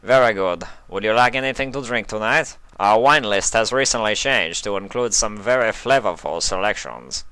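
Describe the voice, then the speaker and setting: A man's voice: lowish voice, expressive, moderate pace, few pauses, pushed and bright, clean tone, dry recording. A man voicing a formal, deferential male pony head waiter in a storybook reading. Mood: courteous. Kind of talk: storybook character voice in fan fiction reading.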